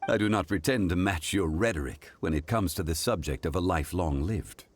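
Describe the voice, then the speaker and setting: An actor playing an ancient god living in human form in a game, speaking in a calm, formal, composed way. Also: male voice, deep voice, moderate pace, a little hiss or hum, natural voice.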